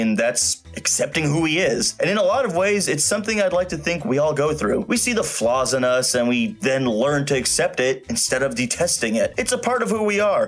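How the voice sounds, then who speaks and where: A man's voice: fairly high pitch, fairly bright tone. A man narrating an opinionated scripted review in an emphatic, informal way. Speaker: video reviewer and host. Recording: music playing behind the voice. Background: background music.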